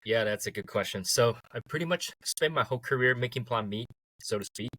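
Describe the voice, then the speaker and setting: Man, easygoing guest, bright tone, dry close mic. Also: mid-range voice, a little fast, no echo, poor audio. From Taiwan. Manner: relaxed, laughs easily, fillers and restarts. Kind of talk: casual interview.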